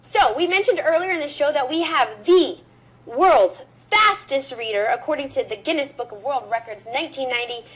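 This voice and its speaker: Upbeat high-voiced talk show host. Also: female voice, lively intonation, fairly quick, a bit bright, low-quality recording. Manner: upbeat, teasing, leads the segment. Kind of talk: morning talk show hosting.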